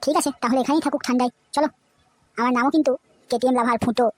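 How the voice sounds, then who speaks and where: A woman's voice: sits high, steady tone, racing. A woman voicing a cocky, foul-mouthed, lovestruck young man in a comedy cartoon, with boastful, teasing banter. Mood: cocky, excited.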